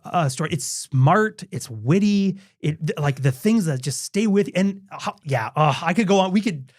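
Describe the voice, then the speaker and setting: A man co-hosts a relaxed podcast chat, and his voice sits a bit high for a man. He talks eagerly and restarts his sentences often. The sound is dry and close, with no room echo. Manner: eager, chatty, many restarts and quick laughs. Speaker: podcast co-host. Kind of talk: casual enthusiastic chat.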